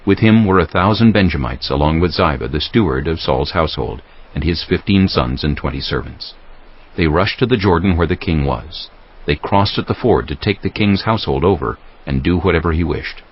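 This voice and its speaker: A man's voice: rumbling low, lively intonation, a little fast, husky, highs cut off. A man reading scripted Bible narration as a narrator.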